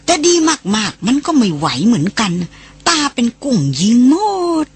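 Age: older. Style: a narrator voicing a nosy, sharp-eared old male servant, whining and pleading in a storybook reading. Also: mid-range voice, very animated, moderate pace, husky. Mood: curious, whining. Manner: whiny, pleading.

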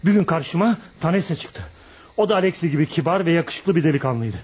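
An actor in a radio play voicing an embittered, proud young outcast who confides in a dramatic, emphatic storytelling manner. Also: male voice, on the high side, lively intonation, brisk, a little mellow, slight background noise, close to an everyday voice. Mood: pained, confiding. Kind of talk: radio theatre acting.

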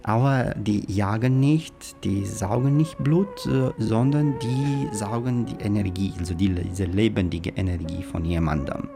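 A man with a Romanian accent explaining as an interviewed historian, in halting non-native German with grammar slips. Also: fairly low pitch, gravelly, over music.

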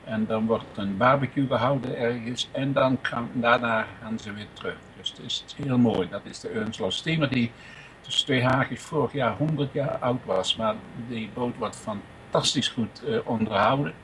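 A man presenting music informally, speaking off the cuff in a rambling, halting, chatty way. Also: mid-range voice, a bit bright, a little hiss or hum, low-quality recording.

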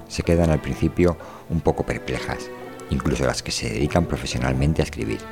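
A man reading a scripted first-person literary piece as a voice performer, in a measured and wry manner. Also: extremely low pitch. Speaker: voice performer reading an audio fiction.

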